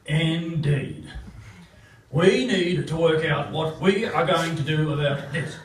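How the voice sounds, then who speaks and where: A man playing a bumbling city official in a live comedy radio play, halting and stammering. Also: fairly high pitch, very noisy. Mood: flustered. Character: bumbling, hesitant male city official leading a meeting. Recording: echoey distant stage, audience behind.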